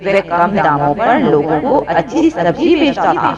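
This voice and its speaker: A woman's voice: lowish voice, very animated, a little slow. A woman narrating a scripted moral story as a storyteller. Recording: dull audio, loud crowd bed behind.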